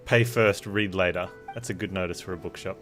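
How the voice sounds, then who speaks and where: Low-voiced man streaming a game. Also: steady tone, a little fast. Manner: joking, wry asides. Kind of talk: casual live gameplay commentary. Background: game music.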